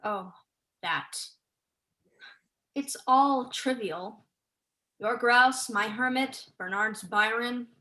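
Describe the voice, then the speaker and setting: A young woman student actor playing a sharp, unsentimental, sceptical scholar in a staged play reading. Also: a little high, drawn-out words, long pauses, heavily acted, low-quality recording. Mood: impassioned. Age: young adult.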